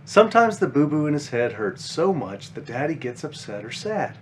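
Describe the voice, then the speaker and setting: A man's voice: fairly high pitch, very animated, normal speed, slight background noise. A man voicing a caring five-year-old big sister bunny from a children's picture book, explaining things simply and earnestly.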